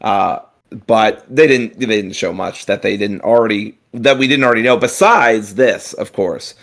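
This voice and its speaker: A man's voice: lowish voice, normal speed, gravelly, a bit bright. A man co-hosting a gaming podcast, chatting casually and rambling with frequent fillers, restarts and casual swearing. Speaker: podcast co-host. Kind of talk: casual chat.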